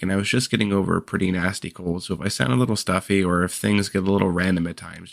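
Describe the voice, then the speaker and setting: A man hosting a podcast in casual chat, a little stuffy from a cold, relaxed and rambling. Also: deep voice, vocal fry, no echo, studio quality. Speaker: podcast host.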